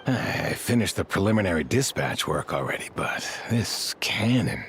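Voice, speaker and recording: An actor playing a weary, dutiful sergeant major in a video game, speaking in a formal, measured way with a gravelly voice. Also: male voice, low-pitched, very animated, a bit bright, slight background noise, exaggerated voice. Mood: weary, frustrated. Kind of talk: video game character acting.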